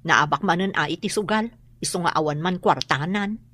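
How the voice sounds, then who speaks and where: An actress playing a worried, complaining wife in a radio drama, with an Ilocano accent. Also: female voice, on the low side, normal speed, fairly bright tone, no echo. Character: worried, complaining wife short of rent money.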